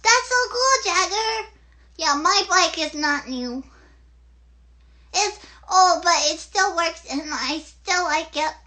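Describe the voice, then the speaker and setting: Chatty little girl puppet, super high bright voice, eager n rambly, kids show. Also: animated, takes it slowly, heavily acted. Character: chatty excitable little girl puppet showing off her room. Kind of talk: interactive children's puppet show.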